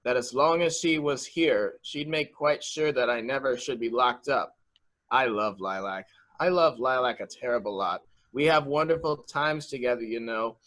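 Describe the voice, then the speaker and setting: A man playing a childlike, simple and excitable young man in a murder mystery reading, rambling and repeating himself innocently. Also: medium pitch, moderate pace, lightly acted. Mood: happy, innocent. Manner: guileless, rambling, repetitive.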